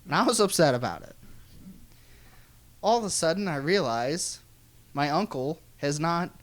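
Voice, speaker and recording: A man's voice: on the high side, normal speed, dry recording. American guy telling a funny story, folksy and sweary, voice jumps around a lot, really dry recording. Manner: animated, folksy, profane. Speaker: guest storyteller. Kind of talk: comic personal storytelling.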